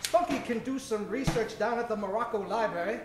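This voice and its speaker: A man's voice: high-pitched, fairly quick, natural voice. A man playing a grandiose, gallant professor-adventurer in an old-time radio comedy, earnest and self-important. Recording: roomy, distant mic with music.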